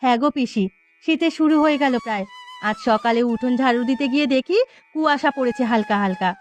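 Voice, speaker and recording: A woman voicing a brisk, caring village mother with a chiding manner in a cartoon. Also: high-pitched, muffled recording, close to an everyday voice. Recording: music under the voice.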